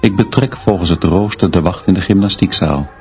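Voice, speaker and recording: An actor playing a stern, austere schoolteacher who narrates a radio drama in terse, measured, clipped sentences. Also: male voice, low-pitched, a little mellow. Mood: sober, reflective. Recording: dull sound, noise and murmur behind.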